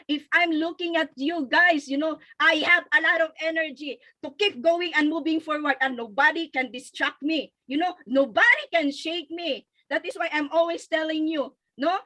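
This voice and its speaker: A woman's voice: high-pitched, normal speed, hardly any pauses, sharp tone, poor audio. A woman from the Philippines with a Filipino accent, giving a fervent, emphatic and repetitive motivational testimonial. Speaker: network marketing leader and guest speaker.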